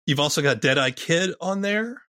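A man's voice: fairly high pitch, animated, normal speed, sharp tone, dry recording, studio quality. A man interviewing a guest in a conversational podcast style.

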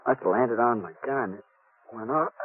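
An American man playing a wounded young westerner, weak, halting and evasive, in a 1950s radio drama. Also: mid-range voice, sing-song. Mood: weak, strained. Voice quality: husky, strained. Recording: tinny old radio recording.

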